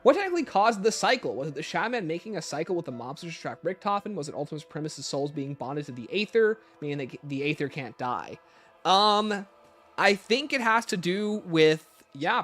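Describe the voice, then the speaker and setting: A man's voice: on the high side, big pitch swings, a little fast, a bit bright, soft background music. A man hosting a livestream, explaining and answering viewer questions off the cuff. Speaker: livestream host.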